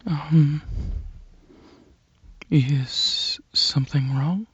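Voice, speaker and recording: A soft-spoken ASMR performer playing a gentle, shy, caring alien dragon, talking hesitantly in a breathy half-whisper. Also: medium pitch, fairly even intonation, extremely slow, no echo, dull audio.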